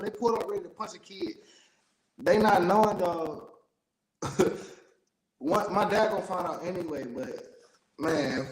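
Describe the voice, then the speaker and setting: An American man from Ohio talking casually as an interview guest, telling his stories in a rambling, emphatic way. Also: high voice. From Youngstown, Ohio, United States. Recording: roomy, echoey sound.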